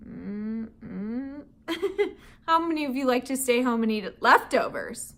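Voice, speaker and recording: A woman teacher reads a children's chapter book aloud to young listeners and stops to teach vocabulary words. Her voice sits high and bright, and it swings a lot as she goes. She laughs often and adds playful, chatty asides. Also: lively intonation, slow pace.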